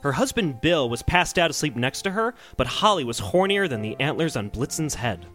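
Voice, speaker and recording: Animated, bright-voiced man hosting comedy. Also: a little high, a little fast, soft background music. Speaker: comedy podcast host. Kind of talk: casual comedic banter and mock-serious story reading.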